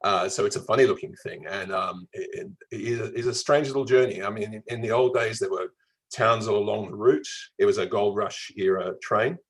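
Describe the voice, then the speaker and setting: An Australian man with a mild Melbourne accent talks as a travel writer being interviewed. His voice is fairly low and noticeably husky, and he tells his stories in a conversational way. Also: fairly quick, rough recording.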